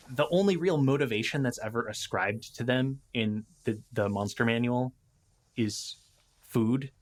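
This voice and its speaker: A man in a casual podcast discussion, stopping and restarting as he thinks out loud, with animated asides. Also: medium pitch. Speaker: podcast guest.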